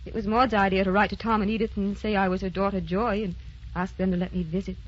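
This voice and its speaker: An actress playing a gentle, wistful woman who stays youthful, with a genteel, guarded manner, in a sentimental radio drama. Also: medium pitch, level tone, quick pace, close to an everyday voice. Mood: pained, confiding. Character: secretly ageless young-looking woman, gentle, wistful, guarded. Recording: muffled old broadcast with clear noise.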